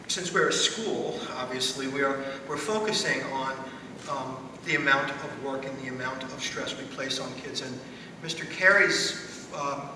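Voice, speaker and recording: A middle-aged man speaking as a school principal on a panel, in measured, deliberate phrases. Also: mid-range voice. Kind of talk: panel discussion. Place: school theater stage at a panel table. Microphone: distant from the speaker. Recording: echoey hall, distant mic, background noise.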